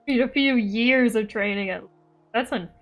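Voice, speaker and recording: A woman streaming a video game with casual, playful commentary, blunt and full of exclamations. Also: fairly high pitch. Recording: game music behind the voice. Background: game music.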